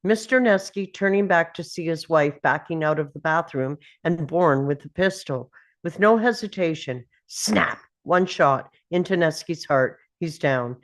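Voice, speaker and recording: A woman's voice: low-pitched, a little slow. A woman reading screenplay action aloud as dramatic narration at a table read.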